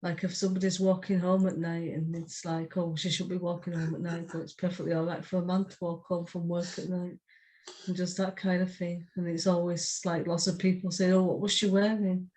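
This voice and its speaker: A woman's voice: lowish voice, little pitch movement, brisk, darkish tone, slight background noise, low-quality recording. A woman, speaking plainly as a podcast co-host who chats and reads her poetry. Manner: brief, plain-spoken. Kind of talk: casual podcast chat and poetry reading.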